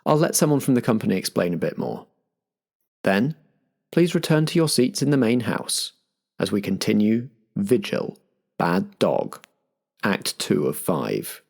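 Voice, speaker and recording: A man's voice: lowish voice, sing-song, a little slow, creaky, a little mellow. A man hosting a roleplay podcast and narrating as game master. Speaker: game master and show host.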